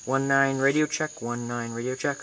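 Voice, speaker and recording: A man's voice: mid-range voice, fairly even intonation. American man, casual how-to, noisy recording. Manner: informal, halting, thinking aloud. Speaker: video tutorial host. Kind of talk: casual hands-on how-to demonstration. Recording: noisy amateur camera recording with clicks.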